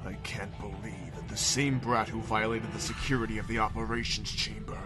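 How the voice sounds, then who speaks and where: A man's voice: fairly low pitch, flat delivery, brisk, lightly acted. Male actor as contemptuous military schemer, commanding, hot-tempered, sci-fi anime drama, heavy music backing. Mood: dismissive. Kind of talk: sci-fi anime parody audio drama acting. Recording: loud music over the voice.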